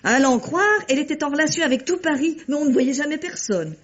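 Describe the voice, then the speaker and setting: A woman playing a chatty, squeamish housekeeper in a French radio mystery play, with a husky voice and folksy, exclamatory, hesitant talk. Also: high-pitched, expressive, quick pace. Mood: chatty, frank. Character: chatty, squeamish, plain-spoken female housekeeper. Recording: dull lo-fi radio recording.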